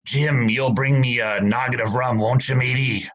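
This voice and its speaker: A man plays an old sea captain gone to drink, rough and fearful. He talks in salty sailor dialect at a middling pitch, swinging between pleading and bluster. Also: steady tone, moderate pace, a bit bright, lo-fi sound, lightly acted. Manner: gruff seafaring dialect, pleading. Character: old drunken male pirate captain, frightened. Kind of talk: student radio play acting.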